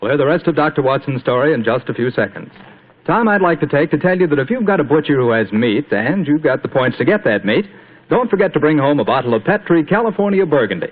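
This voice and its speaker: A man's voice: on the high side, sing-song, fast talker, narrow, tinny audio. An American man announcing on 1940s radio, with a folksy salesman's manner. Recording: vintage 1940s radio broadcast.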